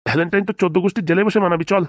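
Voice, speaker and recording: A bullying police commissioner from a comedy cartoon acted by a man, speaking extremely fast. He blusters and threatens, recorded very dry. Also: sits high, steady tone, no echo. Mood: furious. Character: bullying, foul-mouthed male police commissioner.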